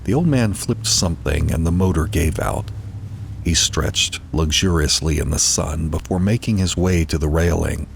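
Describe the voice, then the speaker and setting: A man's voice: very deep voice, moderate pace, gravelly, slight background noise. A man narrating a dramatized first-person horror story, with music behind him.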